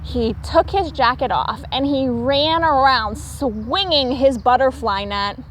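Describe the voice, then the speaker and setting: A woman teacher reading a children's storybook aloud in an expressive, deliberate way. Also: high-pitched, slight background noise.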